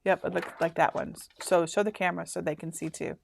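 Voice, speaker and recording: A woman's voice: fairly low pitch, steady tone, a little fast, vocal fry, slight background noise. A chatty woman in her fifties from Michigan, hosting a casual live stream and rambling as she reads instructions aloud. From Michigan, USA. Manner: chatty, rambling, laughs often. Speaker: host.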